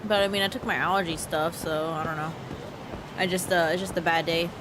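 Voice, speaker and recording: A woman's voice: fairly low pitch, steady tone, a little fast, background noise. A stuffy-nosed woman chatting casually while she streams a video game, joking along. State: congested from allergies. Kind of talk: casual live gameplay commentary.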